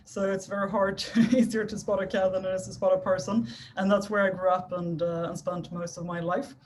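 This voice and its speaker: A woman's voice: medium pitch, level tone, fairly quick, darkish tone, slight background noise. A young woman from Sweden giving a friendly, chatty talk to a club meeting over a video call. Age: young adult. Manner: friendly, chatty, lightly self-deprecating. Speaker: guest speaker. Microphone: video call microphone.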